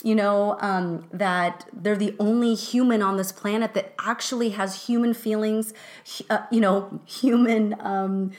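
A woman's voice: medium pitch, steady tone, a little slow. A middle-aged woman co-hosting a podcast, talking casually with many restarts and fillers. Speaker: podcast co-host.

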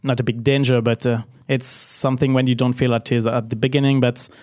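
A man's voice: mid-range voice, little pitch movement, fairly quick, darkish tone, no echo, studio quality. A man in his thirties with a French accent, a native French speaker, talking as an interview guest and hesitating, restarting and repeating words. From Paris, France. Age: 30s. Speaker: guest, business founder. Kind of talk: casual interview.

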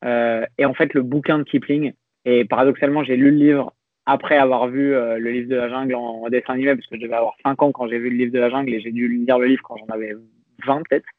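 A man's voice: medium pitch, level tone, quick pace, no echo. A young adult man, a podcast guest, talking in a reflective, hesitant way with many restarts. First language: French. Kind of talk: casual conversational interview. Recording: dry, phone-like narrow sound.

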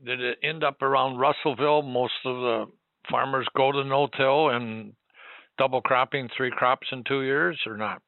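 A man's voice: mid-range voice, fairly even intonation, moderate pace, no room sound, phone-quality sound. A man in his eighties talking plainly in a conversational interview, sharing recollections and putting questions. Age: 80s.

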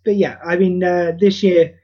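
A man talking as a guest on a podcast about the charity audio play he wrote, candid, self-deprecating and quick to swear. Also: high-pitched, fairly even intonation, muffled recording. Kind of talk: casual podcast interview.

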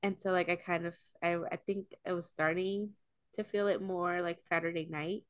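A woman's voice: lowish voice, fairly even intonation, moderate pace, no room sound, clean studio sound. A young woman co-hosting a casual podcast, telling stories between friends, full of "like" and "um," with a cold coming on. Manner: chatty storyteller, lots of "like" and "um". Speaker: podcast co-host.

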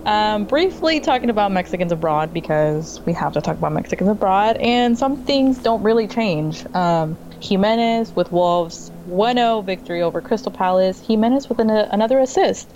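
A woman co-hosting a football podcast, giving a relaxed rundown of results with plenty of ums. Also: mid-range voice, highs cut off, a little hiss or hum. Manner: relaxed rundown with frequent ums and quick laughs. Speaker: podcast co-host. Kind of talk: casual football chat.

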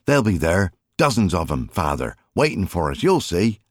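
A man's voice: low voice, very animated, moderate pace, no echo, studio quality. A man voicing a cheeky, carefree young fisherman's son in an audiobook story, breezy and playful. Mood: eager.

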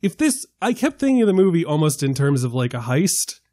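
Higher-pitched man arguing his take. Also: brisk, dry recording, clean studio sound. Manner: animated, argumentative, builds his case with examples. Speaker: podcast panelist. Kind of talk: casual film discussion.

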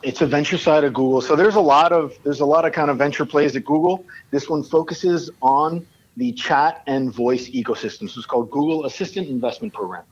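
Man, Puerto Rican accent, startup founder, slick pitch with ums, muffled lo-fi sound. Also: normal speed, few pauses. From Puerto Rico. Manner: fluent pitch delivery with filler ums. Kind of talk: casual interview, switching Spanish and English.

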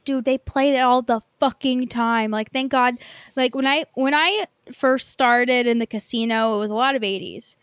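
High-voiced woman, chatting casually. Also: normal speed, fairly bright tone, smooth, dry recording, studio quality. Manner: informal, sweary, peppered with "like". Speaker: podcast co-host. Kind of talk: casual movie chat.

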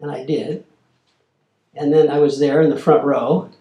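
A man's voice: on the high side, level tone, a little slow, mellow, echoey room, muffled recording, a little hiss or hum. An older man giving a storytelling lecture, acting out the quoted exchanges as he talks. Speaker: lecturer, former corporate lobbyist. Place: lecture hall.

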